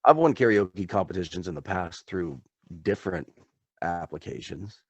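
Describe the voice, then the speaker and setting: Male podcast co-host, very low speaking voice, relaxed chatter, dry close mic. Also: moderate pace, no room sound. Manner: laid-back, chatty, says dude often. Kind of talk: casual chat.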